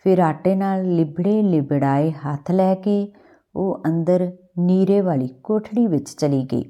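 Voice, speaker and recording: Low, mellow Punjabi woman storyteller. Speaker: storyteller, host of a story channel. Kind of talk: scripted narration of a literary short story.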